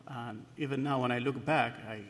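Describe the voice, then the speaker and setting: A man's voice: medium pitch, steady tone, normal speed, a bit bright. A middle-aged man from northern Iraq giving a hesitant personal testimony at church, with many fillers and restarts. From Kurdistan, northern Iraq. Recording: long hall reverb.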